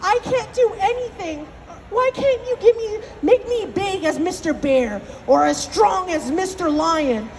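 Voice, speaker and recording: A woman's voice: very high-pitched, animated, unhurried, darkish tone, slight background noise, heavily acted, poor audio. A woman voicing a small, meek male storybook rabbit who complains and pleads in a wheedling way. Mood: complaining.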